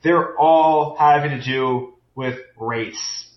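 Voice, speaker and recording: A man's voice: fairly high pitch, slow. Man in a roomy lo-fi recording. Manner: thinking aloud, many restarts. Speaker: podcast co-host. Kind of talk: casual discussion. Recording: roomy, dull lo-fi sound.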